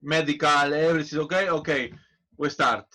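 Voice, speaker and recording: A man, a football manager and former player, chatting as a podcast guest in halting non-native English with frequent restarts. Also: fairly high pitch, bright, forceful tone. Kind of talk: casual interview chat.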